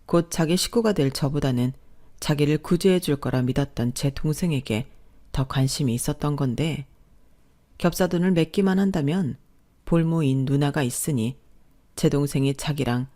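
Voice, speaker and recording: A woman's voice: low voice, moderate pace, mellow. A woman narrating a first-person family drama in an indignant, confiding tone. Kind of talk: scripted first-person storytelling.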